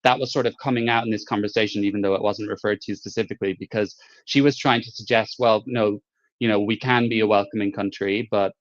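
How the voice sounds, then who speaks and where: A male host asking long, measured questions in a live interview. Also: lowish voice, steady tone. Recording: dull lo-fi audio.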